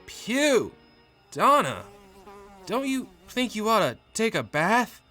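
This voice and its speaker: A melodramatic husband from a horror comic as a man acts him out. His voice is pushed high and shrill, frantic with disgust and pleading. Music plays clearly behind him. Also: huge pitch swings, takes it slowly, sharp tone, full character voice. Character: frantic, disgusted plantation husband tormented by zombie wife.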